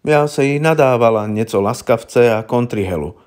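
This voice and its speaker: A man voicing a wary, gruff old village herbalist woman in an audiobook, with blunt, knowing, rustic colloquial speech. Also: mid-range voice, animated, a little mellow, lightly acted. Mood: blunt, confiding. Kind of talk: audiobook character voice.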